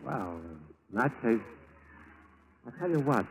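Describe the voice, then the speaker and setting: An actor playing a mild, fussy male landlord and secret spy in a 1940s radio drama, speaking a little haltingly. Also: medium pitch, big pitch swings, rough recording. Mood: softening, friendly. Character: male suspected spy, dog-fussing landlord posing as patriot. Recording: old radio recording, faint noise.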